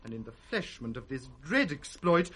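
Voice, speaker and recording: An actor playing a cowardly, self-justifying steward in 1960s British radio Shakespeare, whining and aggrieved. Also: male voice, medium pitch, big pitch swings, normal speed, fairly bright tone.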